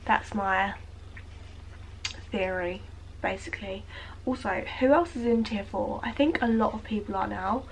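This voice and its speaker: A woman's voice: medium pitch, unhurried, gravelly, long pauses, a little hiss or hum, poor audio. A young British woman vlogging casually from home, congested and nasal from a cold, rambling with lots of fillers while grooming her eyebrows. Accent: British English. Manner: chatty, rambling, filler-heavy. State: congested, blocked nose. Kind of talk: casual chat to camera. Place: at home, indoors.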